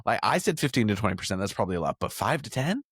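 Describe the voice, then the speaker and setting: A man's voice: fairly low pitch, sing-song, rapid-fire, breathy edge, dry recording, clean studio sound. A man hosting a podcast, talking in a casual, conversational way. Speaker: podcast host.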